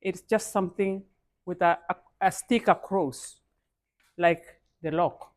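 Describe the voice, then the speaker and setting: A woman's voice: on the low side, takes it slowly. A woman with a Kenyan accent speaking as a guest at a live event, in an emphatic style that addresses the audience directly and repeats key phrases. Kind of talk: motivational speech.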